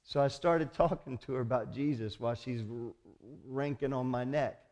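A man's voice: medium pitch, moderate pace, darkish tone. A man preaching a sermon as a pastor, emphatic, often putting rhetorical questions to his congregation.

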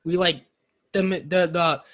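A man's voice: high-pitched, fairly even intonation, slow pace, fairly bright tone. A young American man chatting casually, swearing freely and ranting. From United States. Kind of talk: casual chat.

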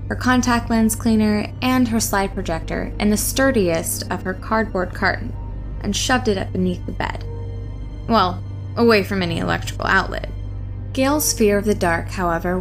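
A woman reading a scripted horror story as an audiobook-style narrator. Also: medium pitch. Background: music under the voice.

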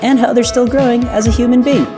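A woman's voice: on the high side, steady tone, quick pace, over music. A woman in her thirties hosting a podcast, chatting casually in a friendly interview style. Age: 30s. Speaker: podcast host.

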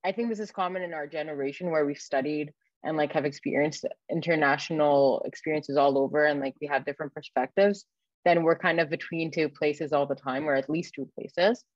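A woman's voice: low-pitched, fairly even intonation, brisk, no echo, muffled recording. A woman in her twenties from Egypt, answering interview questions as a researcher in an informal, reflective, conversational way. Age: 20s. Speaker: guest, early-career academic researcher.

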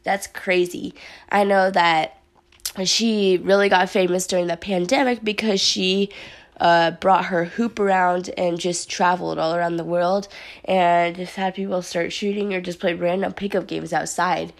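A young woman hosting her podcast in casual chat, her voice a little raspy from a sore throat, upbeat and chatty with lots of fillers. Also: fairly low pitch, fairly even intonation, measured pace. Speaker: podcast host. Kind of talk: casual solo podcast talk.

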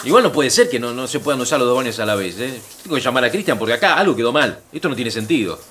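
A man's voice: mid-range voice, animated, racing, a bit bright, slight background noise, natural voice. An actor playing a defensive, grumbling middle-aged husband and father with a Rioplatense Argentine accent in naturalistic audio fiction. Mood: irritated. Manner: colloquial, defensive, swinging in emphasis.

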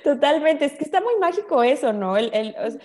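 Woman, Mexican accent, slightly high voice, casual explanatory talk, informal, expressive, colloquial. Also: a little fast. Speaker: guest explaining spiritual ideas.

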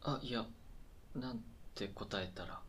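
A man's voice: fairly low pitch, takes it slowly, fairly bright tone, husky, exaggerated voice. A man acting in a conversational radio drama as a depressed, self-conscious welfare applicant, hesitant, flustered and apologetic. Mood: awkward, apologetic.

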